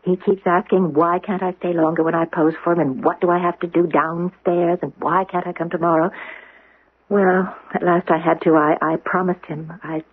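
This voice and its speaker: An actress playing a naive, warm-hearted young artists' model in an earnest, emotive 1970s radio drama style. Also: female voice, on the low side, fairly even intonation, normal speed. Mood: chatty, defiant. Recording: muffled, husky-sounding old radio recording.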